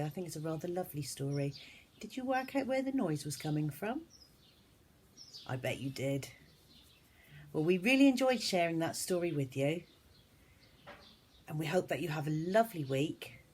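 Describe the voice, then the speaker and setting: Mellow, expressive woman reading a children's story. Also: low voice, normal speed, pauses often. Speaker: storyteller, teacher. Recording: faint background noise, birdsong. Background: birdsong.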